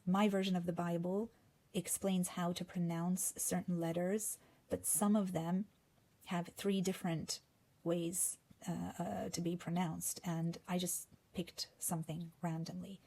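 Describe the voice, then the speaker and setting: Slow, gravelly Hungarian-accented woman. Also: fairly low pitch, little pitch movement, warm tone. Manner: slow and deliberate, drops to whispers on key words. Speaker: language teacher. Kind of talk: explanatory lesson reading scripture.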